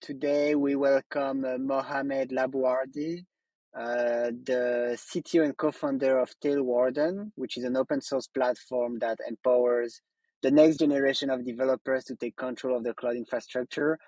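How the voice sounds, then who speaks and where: A man's voice: mid-range voice, fairly even intonation, moderate pace, a little mellow, no echo, rough recording. Hesitant male podcast host, dry sound. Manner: hesitant, many "uh" fillers and restarts. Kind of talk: hosting an interview.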